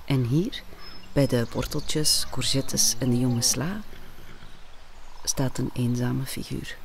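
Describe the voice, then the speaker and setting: A woman narrating an audio play for children and families, playfully speaking straight to the listener with deliberate pauses. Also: a bass voice, steady tone, slight background noise.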